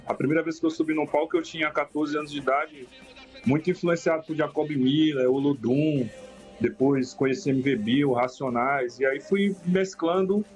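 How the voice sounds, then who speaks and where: A young adult man from Salvador, answering interview questions about his rap career in a reflective way, often ending phrases with a questioning tag. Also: on the high side. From Salvador, Brazil. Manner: reflective, frequent "né?" tags. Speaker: rapper guest.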